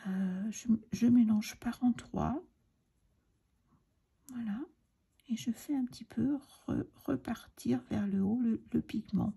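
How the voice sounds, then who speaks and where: A middle-aged woman with a cold, hoarse and breathy, chatting hesitantly and often half-whispering as she explains a crafting tutorial. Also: a little high, slow pace, long pauses, mellow. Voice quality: raspy, breathy, gravelly. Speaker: card-making tutorial host.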